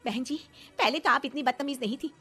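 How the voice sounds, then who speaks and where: A woman voicing an offended older mother in an animated story. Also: high-pitched, fairly quick. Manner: curt, offended.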